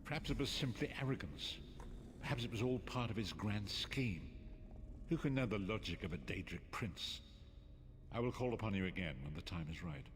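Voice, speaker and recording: An actor playing a solemn, cryptic elderly prophet in a fantasy video game, speaking in a measured, formal way. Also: male voice, on the low side, lively intonation, close to an everyday voice. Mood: solemn, mystical. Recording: long cavernous reverb with background noise.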